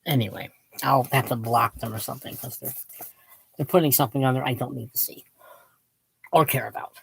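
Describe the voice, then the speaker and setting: A woman's voice: a bass voice, brisk, gravelly, slightly rough. A woman in her late fifties giving a casual, opinionated book talk to viewers, drifting off on tangents in a self-deprecating way. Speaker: book vlogger.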